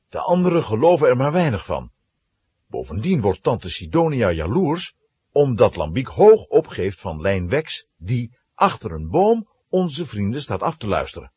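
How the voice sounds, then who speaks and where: A man giving dramatic, expressive scripted narration of a children's story. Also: fairly low pitch, slightly rough, dry recording.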